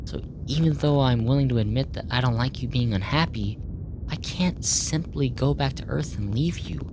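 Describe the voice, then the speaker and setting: A woman playing a curious, earnest alien researcher in an ASMR roleplay, speaking formally and clinically, puzzled by human feelings. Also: moderate pace. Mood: earnest, negotiating. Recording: noisy with low rumble.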